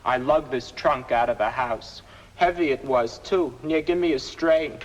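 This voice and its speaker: A man's voice: mid-range voice, unhurried, highs cut off, close to an everyday voice. An actor playing a cocky, aggrieved truck driver in a 1950s film, griping in colloquial working-man phrases. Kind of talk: 1950s film comic character acting. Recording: reverberant, noisy old film soundtrack.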